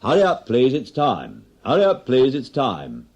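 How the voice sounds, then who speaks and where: Man acting pub landlord, impatient, loud call, raised pitch, insistent, poetry recital. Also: huge pitch swings, measured pace, slightly rough, dull audio, full character voice. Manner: insistent calling out.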